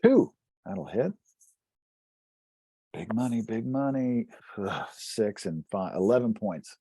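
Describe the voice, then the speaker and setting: A man's voice: lowish voice, big pitch swings, slow pace, mellow, breathy edge, no room sound. A man bantering casually as a player in a tabletop game.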